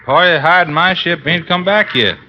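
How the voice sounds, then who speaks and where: A man's voice: on the high side, moderate pace, close to an everyday voice. An actor playing an unschooled, salty, puzzled male boat skipper in an old radio drama, on a muffled recording. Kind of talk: 1950s radio character acting.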